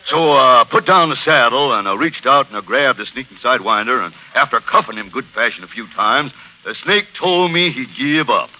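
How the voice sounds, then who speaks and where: Casting: a bragging Western cowboy bully, forceful and piercing, highly animated delivery, vintage radio sound. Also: male voice, normal speed, low-quality recording. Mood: boastful. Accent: American Western. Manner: folksy drawling brag, blustering. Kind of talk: 1940s radio comedy acting. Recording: vintage 1940s radio broadcast.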